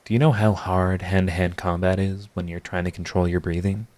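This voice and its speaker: A man acting a sardonic supervillain secretly devoted to his rival, in an intimate, theatrical audio roleplay monologue with halting confessions. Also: deep voice, fairly even intonation, a little mellow, close to an everyday voice. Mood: anguished, confessional.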